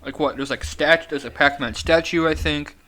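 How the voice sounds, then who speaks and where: A man's voice: on the high side, moderate pace, pushed and bright. A teenage boy hosting a casual podcast chat, hesitant and full of fillers and restarts. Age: teens.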